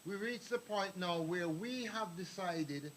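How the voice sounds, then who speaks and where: A man's voice: sits high, a little slow, a bit bright, slight background noise, low-quality recording. An older man giving a rambling, anecdotal address to a community meeting, calling on people in the room. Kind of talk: public address to an audience. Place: meeting hall.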